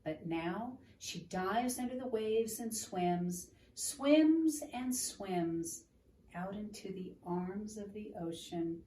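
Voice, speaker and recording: A woman reads aloud for children in a slow, gentle, teacherly manner. Her tone is mellow and she pauses to explain things. The recording is roomy, with some noise in the room. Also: medium pitch, lively intonation, distant mic. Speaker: children's storytime reader. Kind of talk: read-aloud picture book with explanations for a child.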